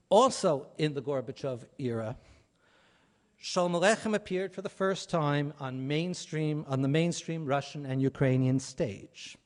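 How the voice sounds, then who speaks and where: A man speaking as an academic at a conference, delivering a prepared lecture in measured phrases with firm stresses and trailing endings. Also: mid-range voice.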